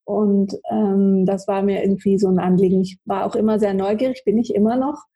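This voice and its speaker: Woman, podcast guest, from Switzerland, Swiss German native, very soft dark tone, husky, conversational. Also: medium pitch, fairly even intonation, a little fast, lo-fi sound. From Switzerland, grew up in Zurich. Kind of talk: conversational life-story interview.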